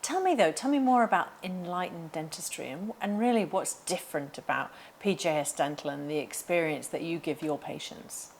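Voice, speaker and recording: A woman's voice: fairly low pitch, moderate pace, creaky, few pauses, a little hiss or hum. A woman hosting an interview, introducing her guest and asking questions in an enthusiastic, encouraging way. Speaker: interviewer, executive coach.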